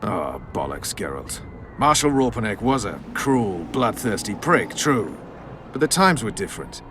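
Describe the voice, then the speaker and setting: An actor voicing a stubborn, cynical soldier in a video game, blunt, scornful and argumentative. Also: male voice, on the low side, sing-song, unhurried, noisy background. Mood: defensive, scornful. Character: gruff, foul-mouthed male soldier, stubborn and cynical. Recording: music behind the voice.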